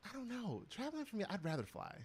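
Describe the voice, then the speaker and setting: A man whose first language is Spanish, co-hosting a casual chat show in a chatty, animated way full of fillers. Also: sits high, quick pace, slightly rough.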